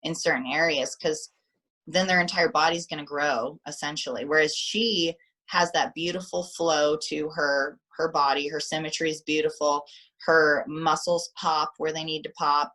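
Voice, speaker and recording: A woman athlete guest in a casual interview over a video call, animated and repeating herself when excited. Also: low voice, normal speed.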